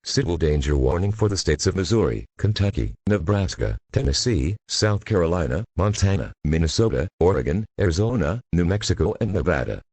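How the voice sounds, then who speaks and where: A man voicing a solemn federal emergency announcer with a husky voice, formally reading a scripted warning. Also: low voice, expressive, brisk, few pauses, a little mellow, no room sound, full character voice. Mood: solemn, official.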